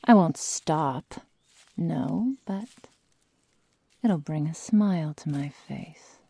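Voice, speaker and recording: A woman playing a sadistic young werewolf girl in an ASMR roleplay, coldly teasing and menacing in soft, breathy, often whispered speech close to the microphone. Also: deep voice, lively intonation, no echo, heavily acted. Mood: falsely tender. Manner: slow, soft, mock-tender menace. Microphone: close microphone, dry sound.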